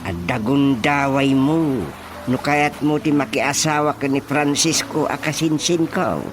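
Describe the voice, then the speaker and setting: A man's voice: on the high side, fairly even intonation, measured pace, music in the background, lightly acted. A man playing a jovial, wily older village chairman in an Ilocano radio comedy, chuckling and coaxing with an Ilocano accent.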